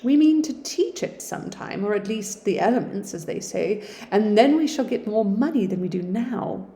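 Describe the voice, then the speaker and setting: A woman reading aloud lends her voice to a pair of studious young sisters, polite and refined. The voice stays mellow and well-spoken, with a roomy echo around it. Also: mid-range voice, animated, normal speed, a little hiss or hum, close to an everyday voice.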